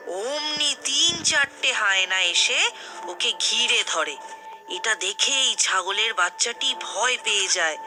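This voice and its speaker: A woman narrating a scripted fairy tale as the storyteller. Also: on the high side.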